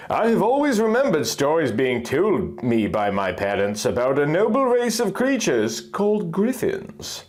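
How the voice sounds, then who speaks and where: Animated man narrating a dramatic fantasy story. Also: fairly high pitch, measured pace, hardly any pauses. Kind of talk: dramatic scripted fan-fiction narration.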